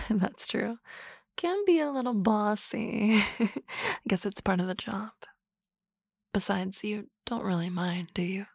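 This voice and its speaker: A woman playing a teasing, confident office boss in a soft, close, whispered ASMR roleplay. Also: mid-range voice, slow pace, creaky, pauses often, dry recording.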